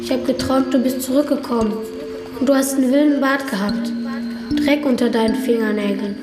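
A woman acts a small, anxious child from a radio drama. The voice is fairly high, with simple, dreamy phrases. It echoes over music. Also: brisk, recorded from a distance, lightly acted. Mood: dreamy, uneasy. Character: small, anxious, trusting child calling for an absent father. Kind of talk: German radio drama acting. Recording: roomy echo, music underneath.

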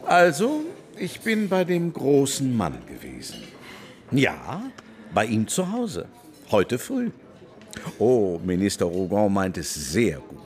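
A man's voice: lowish voice, wildly swooping pitch, slow pace, darkish tone, heavily acted. An actor playing an obsequious, fussy male political go-between in a radio drama. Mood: placating.

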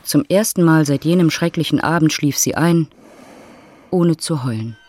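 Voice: mid-range voice, normal speed, darkish tone. A narrator reading scripted literary prose for an audio drama. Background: music.

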